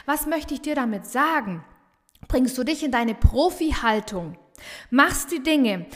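A woman's voice: a little high, big-room sound. A woman with a regional dialect hosting a motivational solo podcast, talking emphatically and energetically, often repeating phrases for emphasis. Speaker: business coach and podcast host.

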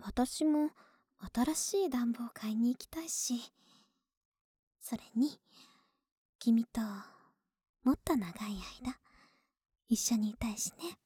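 A woman acting a breathy, sleepy, affectionate young neighbor. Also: on the high side, dry recording, studio quality, exaggerated voice. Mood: coaxing, playful. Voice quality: soft, breathy, often whispered. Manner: slow, tender, coaxing, drawn-out drowsy words. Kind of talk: Japanese ASMR situation voice drama, intimate and soothing. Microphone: very close ASMR microphone, dry sound.

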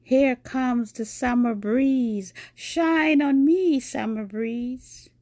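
A woman voicing her young daughter, who delights in the rain and speaks in a slow, dreamy sing-song. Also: fairly high pitch, dark tone, dry recording, studio quality.

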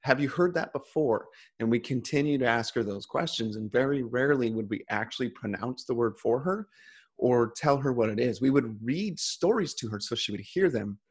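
A man's voice: medium pitch, slightly rough. A man in casual conversation, talking earnestly with frequent restarts.

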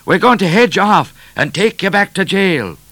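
A storybook police trooper, acted out by a male reader, blustering and sentimental at once. He calls out loudly in a voice pushed well up, with big swoops of pitch and heavy emphasis. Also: animated, moderate pace, no room sound, full character voice. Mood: blustering, threatening. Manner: loud, emphatic calling out. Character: hot-headed, sentimental police trooper, man, shouting threats and pleas.

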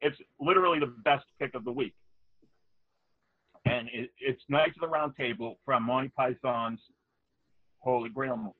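A man from the Boston area co-hosting a podcast, chatting casually, animated and argumentative, laughing often, heard over a call-in line. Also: medium pitch, moderate pace, long pauses, no room sound. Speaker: podcast co-host. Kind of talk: casual banter. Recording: tinny dry phone-like line.

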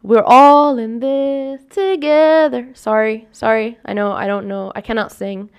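A woman's voice: fairly even intonation, unhurried, clear, resonant tone. A young woman with a somewhat scratchy voice, hosting a casual solo podcast, rambling and correcting herself as she talks. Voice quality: scratchy, deepens with long talk. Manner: rambling, self-correcting, upbeat sign-off. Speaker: podcast host.

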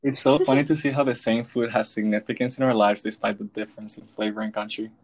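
A young man from Venezuela co-hosting a podcast, reading a prepared script in a steady way. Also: fairly low pitch, fast talker. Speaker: podcast co-host.

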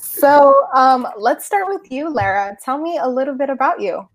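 A woman hosting a casual podcast interview, upbeat and quick to laugh. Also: sits high, sing-song, rough recording. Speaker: podcast host.

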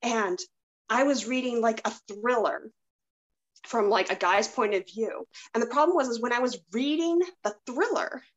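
A woman's voice: on the high side, normal speed. Woman chatting casually, slightly dull lo-fi sound. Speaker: podcast host. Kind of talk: casual chat.

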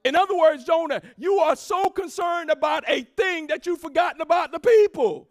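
A woman pastor delivering a sermon, emphatic and rousing, calling out to the congregation and swinging from low asides into shouted, sing-song cadences. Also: moderate pace. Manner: emphatic preaching with call-and-response.

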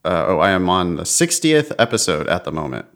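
A man's voice: low voice, sing-song, brisk, a bit bright. A man co-hosting a test-prep podcast, chatting casually in a blunt, exasperated way and laughing often. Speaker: podcast co-host.

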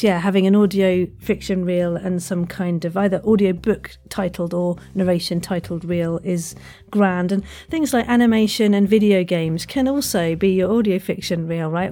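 A woman's voice: mid-range voice, moderate pace, few pauses, a little mellow. A woman interviewing as a podcast host in a casual, chatty, encouraging and informal way.

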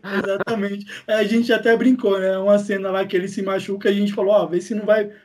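High-voiced Brazilian man, podcast guest. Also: little pitch movement, fast talker, a little mellow, slight background noise. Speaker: podcast guest, audio drama maker. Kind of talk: casual interview chat.

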